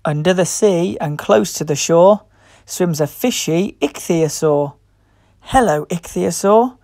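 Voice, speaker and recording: A woman reading a picture book aloud to young children as host, expressive, playful and encouraging. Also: fairly low pitch, slow pace, no room sound, clean studio sound. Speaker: children's storybook reader and host.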